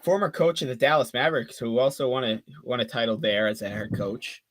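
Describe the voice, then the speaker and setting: A man of twenty giving fan play-by-play on a live stream, calling the action quickly and chatting with viewers between plays. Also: mid-range voice. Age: 20s. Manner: rapid call of the action mixed with chatty asides to viewers.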